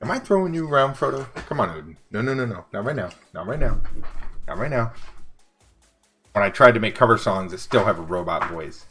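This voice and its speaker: Deep-voiced bearded streamer, lively. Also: male voice, a little fast, faint music behind. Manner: relaxed, rambling, reads and answers chat. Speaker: livestreaming vector artist. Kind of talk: casual livestream chat while drawing. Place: home studio desk.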